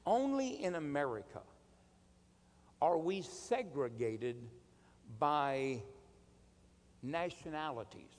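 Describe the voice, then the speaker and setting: Male preacher, mid-pitched, slow and deliberate sermon delivery, long hall reverb. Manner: emphatic, deliberate, rhetorical questions and calls for amen.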